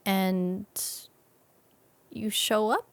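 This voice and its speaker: Woman with creaky voice, chatting reflectively. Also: medium pitch, fairly even intonation, extremely slow, no room sound. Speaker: interview guest. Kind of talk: casual reflective conversation.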